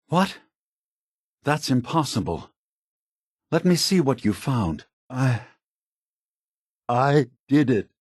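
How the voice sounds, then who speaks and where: Slow, soft-spoken, weary synth leader. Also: male voice, mid-range voice, dry recording, clean studio sound. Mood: shaken, pleading. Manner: measured, grave, philosophical. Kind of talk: scripted video game character dialogue.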